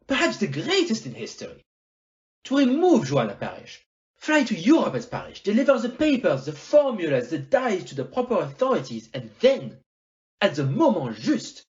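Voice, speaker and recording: Theatrical, animated detective, played by an amateur actor. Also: mid-range voice, dull audio. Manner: theatrical, didactic, rhetorical "eh?" tags, French phrases. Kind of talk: amateur audio play detective acting.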